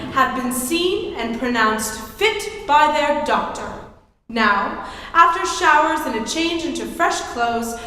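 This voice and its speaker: A woman playing a grandiose, declamatory announcer narrating a 1940s-style radio serial. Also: sits high. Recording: echoey hall, music behind. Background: music.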